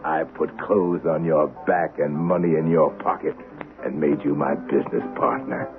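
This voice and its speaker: A deep-voiced, vengeful old Westerner. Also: male voice, steady tone, soft background music. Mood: scornful. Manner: menacing, deliberate, scornful. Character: vengeful, bitter wealthy old Westerner, cold and domineering. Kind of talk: 1970s radio drama acting. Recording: dull, noisy vintage radio drama recording.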